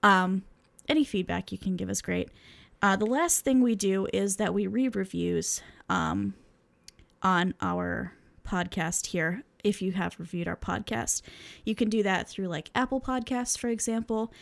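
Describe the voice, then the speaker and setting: A woman's voice: mid-range voice, unhurried, creaky. A young woman co-hosting a podcast, chatting casually with lots of fillers and laughs, swearing freely. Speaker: podcast co-host.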